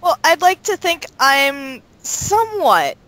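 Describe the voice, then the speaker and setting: Female voice actor guest, higher register, bubbly and playful in casual chat. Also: sing-song, slow pace, a bit bright. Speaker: voice actor being interviewed.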